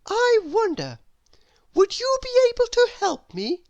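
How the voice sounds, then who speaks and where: Woman putting on a high-pitched, inquisitive little girl. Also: wildly swooping pitch, measured pace, a little mellow, dull audio, heavily acted. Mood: curious, wondering. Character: curious little girl character, endlessly inquisitive.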